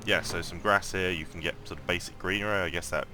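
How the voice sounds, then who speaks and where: A man with a croaky morning voice giving casual gameplay commentary, thinking aloud and rambling with lots of ums and restarts. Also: low voice, fairly even intonation, quick pace, bright, forceful tone. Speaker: mobile game let's-play host.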